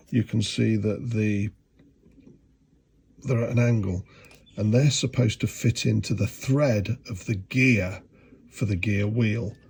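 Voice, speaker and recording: A middle-aged man with a congested, cold-affected voice, talking through a hands-on job in a halting, explanatory way. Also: on the low side, creaky, darkish tone, breathy edge. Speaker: hobbyist mechanic narrating a video. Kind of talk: casual how-to narration. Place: home garage.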